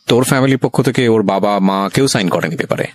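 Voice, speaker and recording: Low-voiced Bangladeshi male interview host. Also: quick pace. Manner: questioning, switches between Bengali and English. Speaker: radio show host. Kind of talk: probing interview.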